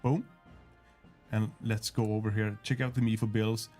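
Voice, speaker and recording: Hesitant man, lowish voice. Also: flat delivery, normal speed, darkish tone, soft background music. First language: not English. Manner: hesitant, many fillers and restarts. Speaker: game developer demonstrating gameplay. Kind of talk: casual livestream gameplay walkthrough.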